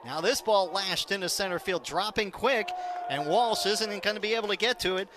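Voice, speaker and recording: An American man calling live baseball play-by-play on the radio, measured between pitches and building to excited calls on big plays. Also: noisy background. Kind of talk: live radio sports commentary.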